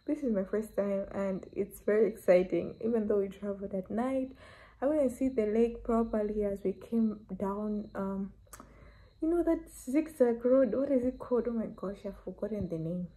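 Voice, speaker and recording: A young woman in her twenties vlogging to her phone from a small bedroom, chatty and excited as she talks straight to viewers. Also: mid-range voice, normal speed, poor audio. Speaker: travel vlogger. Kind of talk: casual vlog to camera. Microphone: phone held at arm's length.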